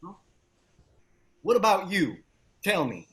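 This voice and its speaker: Man acting a shaken young activist, animated and raspy. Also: sits high, full character voice. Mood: wounded, confrontational. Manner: halting, emotional delivery. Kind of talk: screenplay table read acting.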